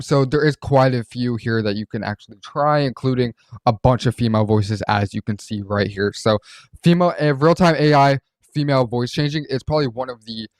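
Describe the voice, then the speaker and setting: A man's voice: mid-range voice, dry recording. Casual man, close and dry sound. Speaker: host reviewing voice changer software. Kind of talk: casual screen-recorded software walkthrough.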